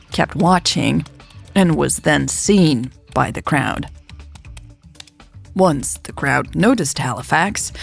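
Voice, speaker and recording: A woman's voice: deep voice, vocal fry, full character voice. A woman playing a formal police officer, narrating an incident report in a factual, detached manner for an audio drama.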